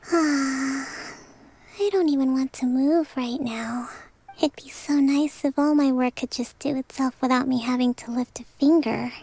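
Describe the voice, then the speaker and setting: An actress voicing a gentle young woman priestess and leader in a game, speaking softly in a weary, half-whispered way. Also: high-pitched, fairly even intonation, unhurried, breathy edge, exaggerated voice. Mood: tired. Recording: game dialogue with background music.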